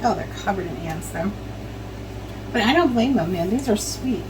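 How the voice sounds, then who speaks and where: A middle-aged woman talks casually in her home kitchen as she works, wandering from topic to topic. She fills every pause with ums and restarts her sentences, at an ordinary middle pitch. Faint noise hums behind her. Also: animated. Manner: rambling, chatty, many ums and restarts. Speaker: vlogger. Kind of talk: casual chat while preserving herbs.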